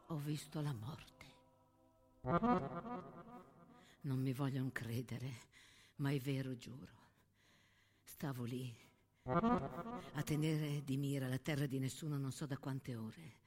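An actress playing a haunted young soldier of the First World War, recounting horrors in an intense, intimate theatrical monologue with dramatic pauses. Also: female voice, low voice. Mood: grave, haunted. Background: accordion music.

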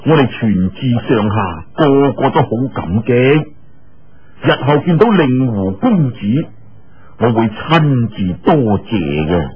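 A man with a Cantonese accent voicing an elderly, compassionate Buddhist abbot, speaking in a slow, grave, drawn-out way. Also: medium pitch, sing-song, slightly rough, voice pushed down, heavily acted. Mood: solemn, grateful. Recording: dull, lo-fi audio.

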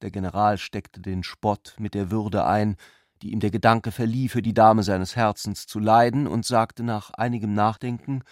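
Guy narrating a literary radio play, lowish voice, super dry recording. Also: fairly even intonation, moderate pace, no echo. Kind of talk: scripted literary narration with acted dialogue.

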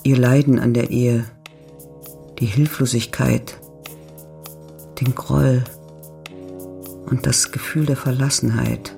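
Male narrator for a literary radio drama, mid-range pitch, measured descriptive read over music. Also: flat delivery, creaky, a little mellow.

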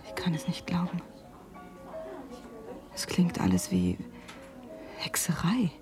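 An actress playing a worried, disbelieving sister in a German radio drama, hushed, breathy and questioning. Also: female voice, lowish voice, very animated, slow pace, whispered, over music, heavily acted. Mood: anxious disbelief. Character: worried, disbelieving sister anxious for her brother.

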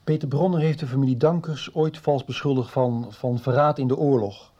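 A reasonable father played by a man in a radio soap. He explains hesitantly in a dark, mellow voice. The recording is slightly dull. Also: medium pitch, moderate pace, lightly acted. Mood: earnest, subdued. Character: reasonable, subdued father explaining a painful matter. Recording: muffled 1990s radio recording.

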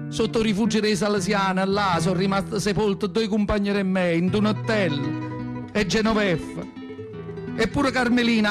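A man's voice: high-pitched, natural voice. A male actor playing an elderly, devout southern Italian mother with a Neapolitan accent, telling her memories in a plaintive, emotional radio monologue. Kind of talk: theatrical radio monologue in dialect. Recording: guitar music behind the voice. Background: acoustic guitar music.

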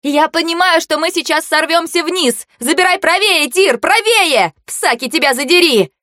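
A woman voicing a forceful young woman leader in an audiobook, curt and emphatic. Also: medium pitch, moderate pace, no echo, studio quality, natural voice. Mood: alarmed.

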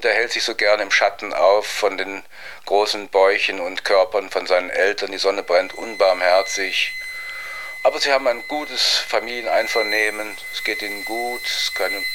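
A man telling a story informally in an unhurried, rambling way. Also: lowish voice. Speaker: joke teller. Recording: very noisy location recording.

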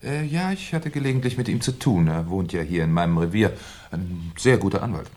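An actor playing a shrewd, courteous police commissioner who questions in a polite, musing, quietly probing way, in 1970s German radio crime drama style. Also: male voice, sits low, lively intonation, brisk.

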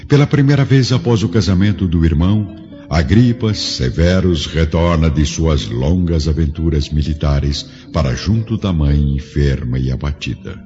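Very deep Brazilian male narrator. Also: lively intonation, normal speed. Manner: solemn, literary. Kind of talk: dramatic scripted narration. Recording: dull lo-fi audio over faint music.

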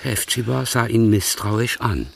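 A man narrating a literary radio play in a husky voice, measured and musing. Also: medium pitch.

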